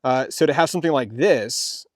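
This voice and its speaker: Rambling, halting man reviewing gear casually. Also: medium pitch, dry recording, studio quality. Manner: rambling, halting, repeats phrases. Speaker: host of a product review vlog. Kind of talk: casual unscripted product demonstration.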